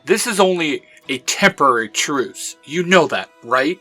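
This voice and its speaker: A man's voice: a little high, animated, a little slow, pushed and bright, soft background music, heavily acted. A man playing a cocky, mocking young superhero leader in a dramatized audio story, brash and defiant.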